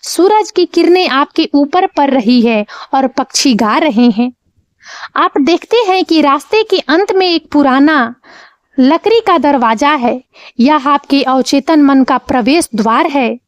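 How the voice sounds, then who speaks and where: A woman narrating a scripted guided meditation, with measured, soothing instructions. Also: sits high, lively intonation, no echo, muffled recording.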